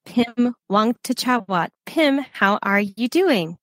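A woman's voice: fairly high pitch, animated, fairly bright tone, no echo. A young American woman from the United States hosting a podcast interview, bubbly and effusive, gushing over books into a close mic. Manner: bubbly, effusive, quick to gush. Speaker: podcast host.

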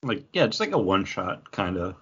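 A man's voice: sits low, very animated, normal speed, a bit bright, husky. A man in his forties co-hosting a podcast, chatting casually with frequent laughs and lots of filler words. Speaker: podcast co-host. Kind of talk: casual chat. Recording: slightly dull lo-fi audio.

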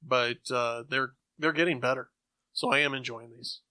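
A man's voice: medium pitch, fairly even intonation, moderate pace, pushed and bright, no room sound. A man co-hosting a podcast and giving his opinions in casual conversation. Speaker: podcast co-host. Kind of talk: casual chat.

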